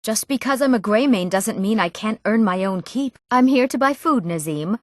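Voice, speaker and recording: A woman's voice: a little high, expressive, normal speed, no room sound, studio quality, lightly acted. A young woman voicing an eager, proud young Nord townswoman in scripted fantasy game dialogue, with an earnest delivery. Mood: indignant. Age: young adult. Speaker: video game voice actor.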